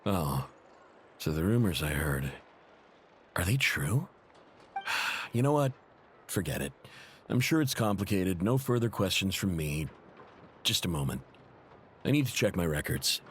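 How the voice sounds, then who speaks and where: An actor playing a helpful, easygoing mechanic in a video game, talking in a casual, sympathetic way. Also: male voice, low-pitched, unhurried, long pauses, a little hiss or hum. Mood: concerned, resigned. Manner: casual, expressive, conversational.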